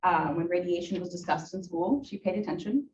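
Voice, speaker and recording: Halting Ukrainian-born woman, far and echoey. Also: on the low side, lively intonation, darkish tone, a little hiss or hum. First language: Russian. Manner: halting, many fillers and restarts. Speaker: guest speaker. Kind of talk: personal talk to a club. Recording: echoey room from far off, dull.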